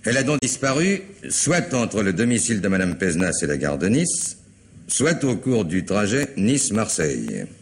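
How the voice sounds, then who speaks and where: A man's voice: on the low side, very animated, normal speed, slight background noise. An actor playing a middle-aged police commissioner in a French radio mystery, polite, methodical and insistent as he questions. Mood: reasoning. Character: persistent, probing police commissioner questioning a family.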